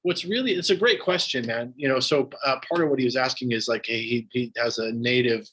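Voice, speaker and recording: A man leads a relaxed question session as a meditation teacher. His pitch sits in an ordinary middle range, and he talks in a casual, conversational way with plenty of fillers. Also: a little fast, slightly rough. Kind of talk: dharma talk with audience questions.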